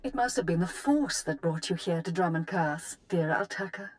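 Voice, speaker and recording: A woman's voice: fairly low pitch, animated, moderate pace, natural voice. An actress playing a romantic, scheming noblewoman in a video game, reading a love letter in a genteel, theatrical manner. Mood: loving, scheming.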